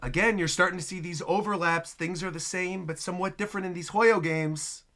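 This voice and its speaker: High, bright-voiced male reaction host. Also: a little fast. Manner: repeats words for emphasis, excitable. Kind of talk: casual reaction commentary.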